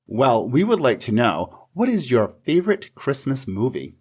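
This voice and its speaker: A man's voice: medium pitch, very animated, moderate pace, a little mellow, breathy edge. A man hosting a podcast, interviewing in a polite, playful, partly scripted style.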